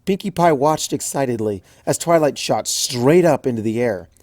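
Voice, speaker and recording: A narrator reading a comic fan story aloud, with expressive storytelling, recorded at home on a close mic. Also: medium pitch, normal speed, no room sound. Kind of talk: audiobook fanfic reading.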